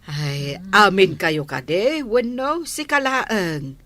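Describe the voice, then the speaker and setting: A woman's voice: medium pitch, animated, very slow, fairly bright tone. An actress with an Ilocano accent playing a proud, tender village mother in a radio drama. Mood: uncertain.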